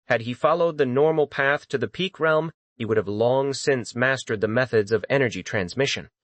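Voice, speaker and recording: A man's voice: medium pitch, normal speed, no echo. A man reading dramatic scripted fantasy narration as an audiobook narrator.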